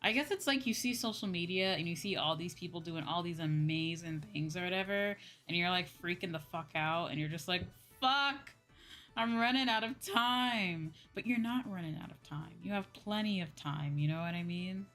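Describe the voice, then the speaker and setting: A young adult woman in a casual livestream chat, talking as a streamer to viewers in an animated, exclamatory way with acted-out asides. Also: fairly low pitch, normal speed. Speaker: VTuber streamer chatting with viewers. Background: background music.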